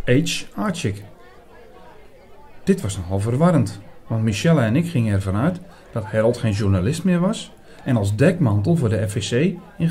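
A man playing an earnest priest who narrates a mystery audio drama in a measured, bookish, formal way. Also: mid-range voice, mellow, lightly acted. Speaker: narrator and lead actor.

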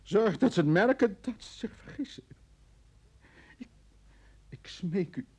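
High-pitched, panicky, protesting man. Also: animated, unhurried, gravelly, a little mellow, slightly rough, exaggerated voice. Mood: despairing. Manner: stammering, protesting. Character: panicked, indignant man wrongly detained by security. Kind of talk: radio drama acting. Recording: old radio play with background noise.

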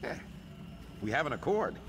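A man's voice: mid-range voice, wildly swooping pitch, normal speed, noisy background. An actor playing an eccentric, pompous older male scholar mage, a gleeful game companion who talks theatrically in voice lines. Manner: theatrical, chuckling, pompous exclamations.

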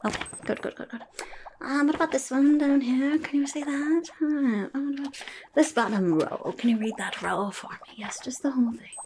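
A young woman voicing a rude, impatient goblin nurse in an ASMR roleplay, muttering in soft, breathy whispers close to the mic and repeating herself grudgingly. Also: sits high, moderate pace, slight background noise, rough recording. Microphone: close microphone.

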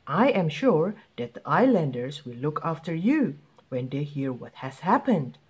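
A kindly storybook beast, voiced by a woman talks in an earnest, gentle manner. The creature is shy but brave, with a lively rise and fall in its speech. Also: low voice, unhurried, slightly rough, highs cut off, heavily acted. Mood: reassuring.

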